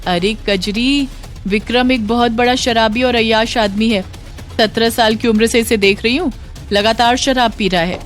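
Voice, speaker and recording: A woman's voice: a little high, steady tone, moderate pace, faint music behind, pitched above the performer's own voice, lightly acted. A woman voicing a blunt, knowing household maid with a drawling, insinuating manner in a moral story.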